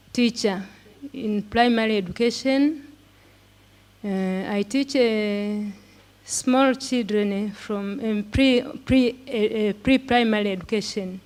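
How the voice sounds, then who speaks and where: Slow-speaking Tanzanian woman, halting delivery. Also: a little high. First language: Swahili. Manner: halting, repeating words as she searches for them. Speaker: pre-primary teacher and conference speaker. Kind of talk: prepared talk in simple English.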